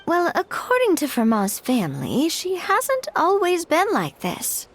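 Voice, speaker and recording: Friendly young lady guide from an anime game, poised, high lilting voice, bit of music underneath. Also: wildly swooping pitch, unhurried, exaggerated voice. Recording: music behind the voice.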